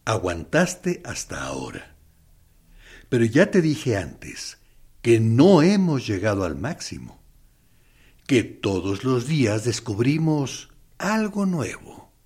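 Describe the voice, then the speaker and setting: An actor playing a harsh male military captain interrogating a prisoner in a radio drama, with a gruff manner. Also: mid-range voice, very animated, takes it slowly, darkish tone, heavily acted. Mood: coaxing. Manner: gruff, swinging between coaxing and angry outbursts.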